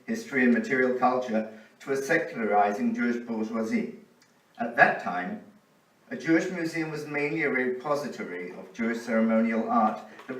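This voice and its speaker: A man's voice: medium pitch, a little hiss or hum. A man giving an academic conference talk with dry humor, reading a colleague's paper. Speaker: conference speaker. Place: conference hall. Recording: roomy hall, voice from a distance.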